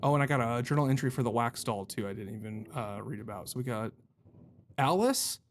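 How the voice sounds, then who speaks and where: A man's voice: mid-range voice, lively intonation, a little fast. Man doing goofy gaming commentary, some noise. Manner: goofy mock-swearing slang; thinks aloud while playing. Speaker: gaming YouTuber. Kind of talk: casual let's-play commentary with read-aloud game notes.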